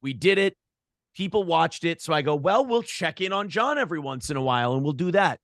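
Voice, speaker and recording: American guy on a podcast, voice a bit high, sweary rambling stories, super dry close sound. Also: expressive, a little fast, a bit bright, no room sound. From Minnesota, United States. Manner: profane, blunt, rambling anecdotes. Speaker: podcast and morning show host, interview guest. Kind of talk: casual interview chat, storytelling.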